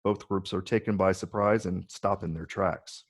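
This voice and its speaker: Male narrator for a script reading: measured, descriptive, husky with a creaky low edge. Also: fairly even intonation. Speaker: narrator reading stage directions. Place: virtual read, recorded remotely.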